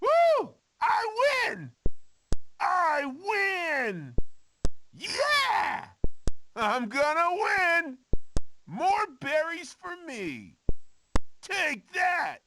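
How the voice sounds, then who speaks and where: An excitable, cocky cartoon video game character, high-pitched and swooping wildly. The short shouts are drawn out and taunting, over faint noise. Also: female voice, extremely slow, long pauses, exaggerated voice. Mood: excited, triumphant. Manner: exclamatory, taunting.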